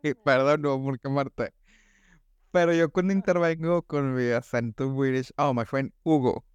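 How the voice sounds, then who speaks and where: A man's voice: mid-range voice, moderate pace, clean tone, no room sound, lo-fi sound. A young man with a Monterrey accent, co-hosting a casual podcast chat in rambling slang. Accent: northern Mexican (Monterrey). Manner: slangy, rambling, says "güey" constantly. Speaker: podcast co-host.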